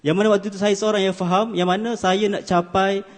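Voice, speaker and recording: A man's voice: high-pitched, little pitch movement, a little fast. A man in his twenties giving an earnest speech at an award ceremony, with many hesitant fillers. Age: 20s. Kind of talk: formal stage speech. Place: large ceremony hall. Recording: hall reverb.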